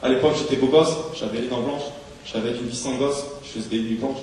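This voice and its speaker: A man's voice: medium pitch, steady tone, fast talker. A man reciting rhymed slam poetry on stage as an embittered homeless drinker, in a rhythmic, declamatory delivery. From France. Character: embittered, washed-up homeless street drinker remembering his youth. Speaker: slam poet. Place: large theatre hall, live on stage. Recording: echoey hall, distant mic, faint noise.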